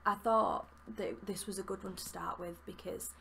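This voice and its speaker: A woman's voice: mid-range voice, steady tone, normal speed, creaky, pushed and bright, slight background noise. A young woman with a Yorkshire accent, chatting enthusiastically while reviewing a book at her desk, with plenty of fillers. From Yorkshire, England. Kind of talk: casual book review vlog. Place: small room with bookshelves, indoors.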